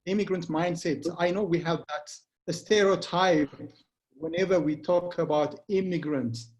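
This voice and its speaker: A man's voice: on the high side, steady tone, a little mellow, poor audio. A middle-aged man from Ethiopia, with an Ethiopian accent and English as a second language, giving an earnest motivational talk that halts and restarts often. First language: not English. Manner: earnest, halting with frequent restarts. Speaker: guest speaker. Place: Pretoria home.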